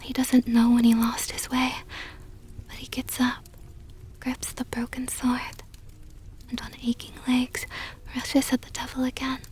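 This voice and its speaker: A woman voicing a seductive, possessive vampire countess in an intimate ASMR roleplay, speaking softly and close to the microphone in a teasing, condescendingly tender way. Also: sits high, monotone, measured pace, pauses often. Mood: hushed, intrigued. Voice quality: breathy, whispery, soft.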